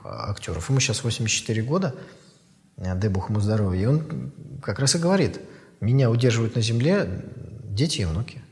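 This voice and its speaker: A man in his fifties, an author, giving thoughtful interview answers in a measured way with pauses and fillers. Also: lowish voice, a little mellow, long reverb tail. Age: 50s.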